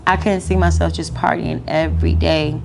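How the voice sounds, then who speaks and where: Slangy, animated woman with gravelly voice. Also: sits low, moderate pace. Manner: animated, slangy, laughs often. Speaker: interview guest. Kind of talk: casual interview chat. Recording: noisy background.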